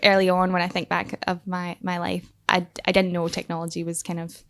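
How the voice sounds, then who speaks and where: A woman's voice: fairly low pitch, monotone, fairly quick, a bit bright. A young Scottish woman talking casually as a podcast guest, laughing often and restarting her sentences with many fillers. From Scotland. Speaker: startup founder and guest.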